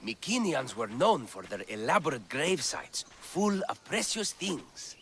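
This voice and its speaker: Eager, animated bookish scholar. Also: male voice, a little high, measured pace, a little hiss or hum, heavily acted. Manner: theatrical, florid, enthusiastic wording. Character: eager, bookish male scholar of antiquities in ancient Greece. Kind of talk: video game character dialogue.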